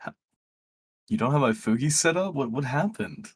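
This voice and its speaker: A man with a slightly raspy voice, rambling through a story in casual chat over a voice call. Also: mid-range voice, animated, normal speed, background noise. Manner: rambling, self-correcting storyteller. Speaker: stream guest. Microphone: close microphone.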